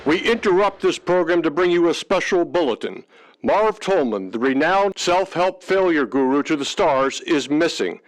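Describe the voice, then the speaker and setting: A man's voice: on the high side, lively intonation, moderate pace, close to an everyday voice, poor audio. A man playing a formal newsreader delivering an urgent special bulletin. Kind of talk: broadcast news bulletin.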